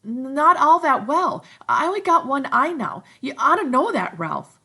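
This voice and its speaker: A woman voicing a shrill, anxious schoolboy while reading a novel aloud. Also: a little slow, full character voice. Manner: shrill, pleading, excited.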